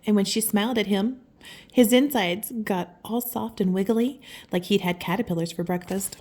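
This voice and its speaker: A woman reading a picture book aloud to children, affectionate and expressive, with chatty asides and exclamations. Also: medium pitch, moderate pace. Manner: affectionate, gasps and exclaims in reaction to the story. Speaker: children's story reader and host.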